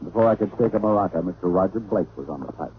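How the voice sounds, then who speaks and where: A hard-boiled American private eye with a lowish voice and a dry, sardonic turn of phrase. He plays it as a wisecracking radio detective. The vintage recording is tinny, with clear noise behind him. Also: expressive, fast. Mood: wry narration. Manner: dry, sardonic, colorful slang. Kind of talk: first-person narration in old-time radio drama.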